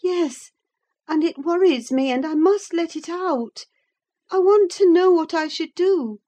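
Woman voicing a high-pitched, petulant young lady. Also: unhurried, dark tone. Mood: urgent, confiding. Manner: impulsive, insistent, exclamatory. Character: headstrong young upper-class woman, petulant and anxious. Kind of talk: dramatised audiobook reading of a classic novel.

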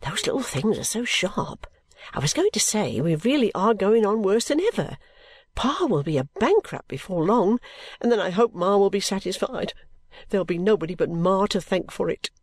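A woman voicing a sulky, overworked young woman who complains abruptly and breaks into pitched outbursts. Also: sing-song, moderate pace, darkish tone, no echo. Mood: exasperated.

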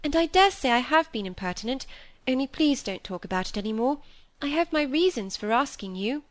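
A woman's voice: high-pitched, animated, a little fast, voice pushed up. A woman voicing an earnest, impulsive young woman in a storybook, speaking in a pleading way.

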